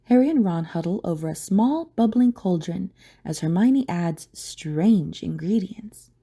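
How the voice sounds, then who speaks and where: A woman's voice: fairly low pitch, lively intonation, a little slow, mellow, husky. A woman reading scripted, dramatic story narration for an audio drama.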